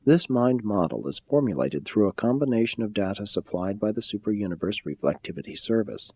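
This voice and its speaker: Low-voiced man reading formally, mellow tone. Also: expressive, brisk, breathy edge. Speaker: audiobook-style reader. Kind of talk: formal scripted reading of a spiritual text. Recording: slightly dull, muffled sound.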